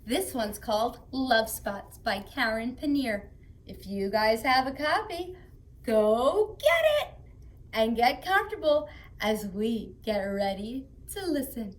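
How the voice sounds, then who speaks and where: Slow, high-pitched woman hosting children's story time. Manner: playful, expressive, addresses children directly. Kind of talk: animated read-aloud for young children.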